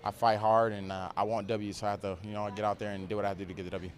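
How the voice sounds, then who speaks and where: A man's voice: lowish voice, level tone, very fast. A young man answering post-fight questions in terse, confident short statements. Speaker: fighter interviewed after a win. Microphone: handheld interviewer mic.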